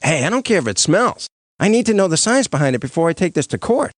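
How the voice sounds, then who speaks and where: An actor playing a brash, glib prosecuting lawyer in a cartoon, with a showy, blustering manner. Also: male voice, on the high side, sing-song, fast, dry recording, clean studio sound. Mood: impatient. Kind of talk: animated comedy courtroom dialogue.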